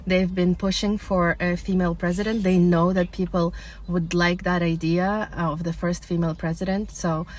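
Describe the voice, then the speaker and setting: A woman from Kazakhstan, interviewed, talking calmly and plainly with some fillers. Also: lowish voice, moderate pace, a little hiss or hum. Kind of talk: casual interview.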